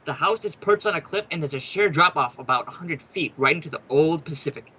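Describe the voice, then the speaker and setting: A man's voice: on the high side, lively intonation, a little fast, pushed and bright, breathy edge, full character voice. A man acting a brash, wisecracking ghost hunter who hosts a radio program, in a chatty, showy old-time radio drama style.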